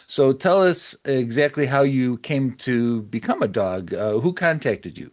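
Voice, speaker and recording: A man with a medium-pitched voice hosts a sports podcast. He talks casually, introducing his guest and putting questions as an interviewer. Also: lively intonation, unhurried.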